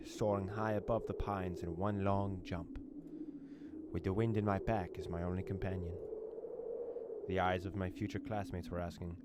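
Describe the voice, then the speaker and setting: A man's voice: low-pitched, very noisy. A man reading a horror visual novel aloud, translating its lines with dramatic narration and amused asides. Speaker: gaming YouTuber.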